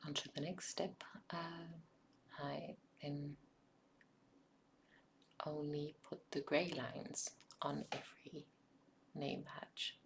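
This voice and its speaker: A South African woman whose first language is Afrikaans, narrating a craft project in a soft, often whispered ASMR style with frequent ums. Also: low-pitched, level tone, slow pace, vocal fry, long pauses, noisy background. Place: home craft table.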